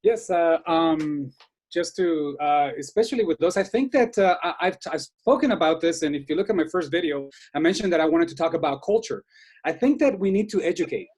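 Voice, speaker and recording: A man's voice: a little high, animated, fairly quick, a little mellow. A man from Guatemala speaking earnestly as a panelist in a group discussion on a video call, often restarting and repeating words.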